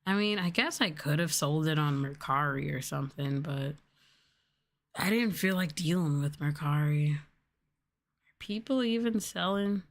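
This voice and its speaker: Soft, low-pitched woman, ASMR streamer. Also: unhurried. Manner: softly spoken, now and then trailing into whispers. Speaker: livestreamer, VTuber host. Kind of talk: soft-spoken ASMR livestream chat.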